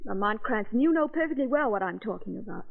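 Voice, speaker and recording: A woman's voice: mid-range voice, expressive, fast talker, gravelly. An actress playing an insistent, inquisitive lady companion to a sleuth, earnest and questioning, in a 1930s radio drama. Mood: insistent, concerned. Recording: tinny 1930s radio recording.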